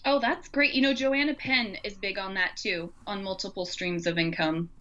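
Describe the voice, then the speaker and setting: A woman's voice: mid-range voice, steady tone, moderate pace, pushed and bright, lo-fi sound. A woman co-hosting a podcast, chatting casually and effusively in an informal way. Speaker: podcast co-host. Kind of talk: casual conversational podcast chat.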